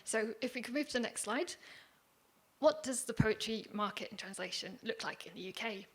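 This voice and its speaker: A woman presenting at a panel with slides, speaking in a measured, self-deprecating way. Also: on the high side, animated, slightly rough. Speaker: poetry organisation manager presenting. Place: conference hall.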